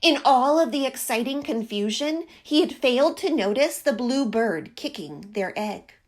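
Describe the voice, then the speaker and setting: A woman reading a picture book aloud to young children in an expressive, deliberate, dramatic storytelling manner. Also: on the high side.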